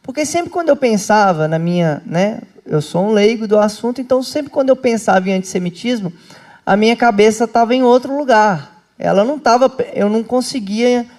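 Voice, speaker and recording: A man, a pastor hosting a live talk for a small church group, speaking in a lively, chatty way and calling his listeners brothers and sisters. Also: high voice, a little fast. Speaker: pastor and host. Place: indoor home church group meeting.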